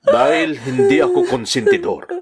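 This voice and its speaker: Stern father, swinging pitch. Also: huge pitch swings, moderate pace, a loud crowd. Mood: stern, scolding. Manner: reproving, lecturing. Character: stern, exasperated older father of a married daughter. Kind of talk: Tagalog radio-style drama acting.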